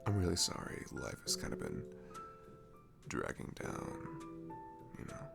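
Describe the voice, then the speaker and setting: Casting: male actor as cute, soothing barista for ASMR audio. Slow, gentle, rambling delivery with lively swings in pitch, over clear background music. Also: heavily acted. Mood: sympathetic. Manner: gentle, rambling, hesitant, breathy chuckles and sighs. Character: cute, sweet, comforting young male barista chatting. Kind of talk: ASMR roleplay, soft casual chat.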